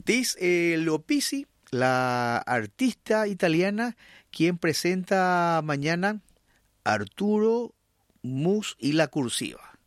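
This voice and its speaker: A man's voice: fairly high pitch, sing-song, slow pace, dry recording, studio quality. Paraguayan male radio host. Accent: Paraguayan Spanish. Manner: hesitant with many fillers and restarts, then reading news steadily. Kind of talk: talk radio hosting and news reading.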